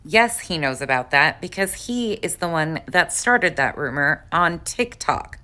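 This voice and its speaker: A woman's voice: sits low, expressive, unhurried, bright, forceful tone. A woman commentating on played clips, reacting to what the speakers in them say.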